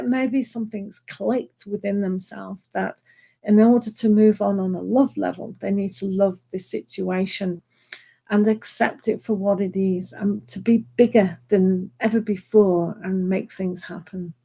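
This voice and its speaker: A woman reading tarot cards live for viewers, chatty and full of ums, her voice hoarse from hours of talking. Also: mid-range voice, a little mellow. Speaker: tarot reader.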